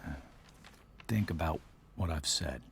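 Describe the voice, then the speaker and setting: Game role: solemn American army veteran stepfather, grieving, halting, deep-voiced and unhurried. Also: animated, creaky, a little hiss or hum, heavily acted. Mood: earnest consoling. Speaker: video game character. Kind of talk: dramatic game cutscene acting.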